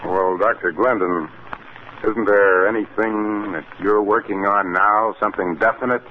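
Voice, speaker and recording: Formal male official man acting, low voice, probing and courteous, 1950s radio drama, faint hiss. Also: fairly even intonation, a little slow, close to an everyday voice. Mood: polite, probing. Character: formal male government envoy, polite but pressing. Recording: hissy narrow-band 1950s radio recording.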